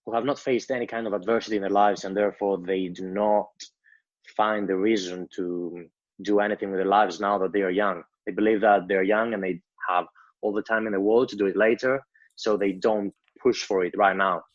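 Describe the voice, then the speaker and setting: A young man in his twenties with a Greek accent, answering interview questions in a measured, storytelling way. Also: fairly low pitch, steady tone, no echo, muffled recording. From Greece. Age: 20s. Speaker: guest.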